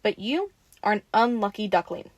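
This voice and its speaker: A woman's voice: medium pitch, expressive, normal speed, no room sound, lightly acted. A woman playing a teasing, bossy but caring vampire in an audio roleplay, talking informally and expressively to the listener. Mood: flustered, explaining. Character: teasing, sassy, caring female vampire taking in a newly turned one.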